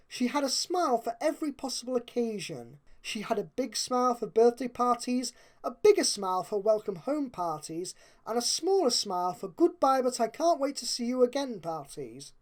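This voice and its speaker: A woman reading a story aloud as narrator, with expressive storytelling and dramatic emphasis. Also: on the high side. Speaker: fan fiction narrator.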